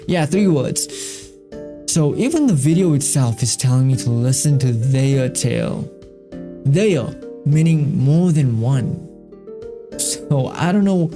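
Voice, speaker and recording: A man hosting a reaction video, talking casually and emphatically, repeating himself and swearing freely. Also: a little high.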